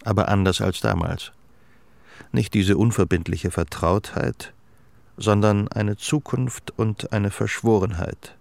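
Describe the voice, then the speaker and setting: Sober radio play narrator guy, gravelly low voice, melancholy love story. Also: takes it slowly, a little mellow, no echo. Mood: reflective, sober.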